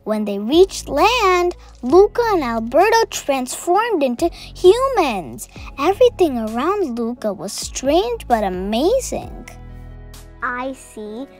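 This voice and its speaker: A woman's voice: high voice, big pitch swings, takes it slowly, faint music behind. A young girl reading a picture book aloud and chatting about the pictures to her viewers. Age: child. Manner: reads text loudly, comments softly to viewers. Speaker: storybook reader.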